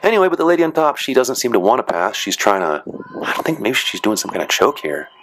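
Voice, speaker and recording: A man's voice: medium pitch, expressive, fast talker, fairly bright tone, a little hiss or hum. A man casually narrating footage of matches he refereed, chatty and rambling with dry joking asides. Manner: rambling, chatty, frequent "you know" and "uh". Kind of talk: casual commentary.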